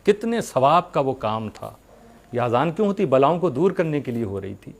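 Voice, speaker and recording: A man's voice: medium pitch, big pitch swings. Preacher, a man exhorting earnestly. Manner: earnest, exhorting, with rhetorical questions and deliberate pauses. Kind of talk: religious sermon addressed to followers.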